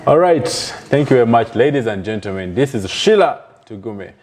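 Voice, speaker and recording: A man's voice: medium pitch, expressive, moderate pace, darkish tone, a little hiss or hum. A man hosting an interview, teasing and joking with his guest and laughing often. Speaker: talk show host. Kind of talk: playful interview chat.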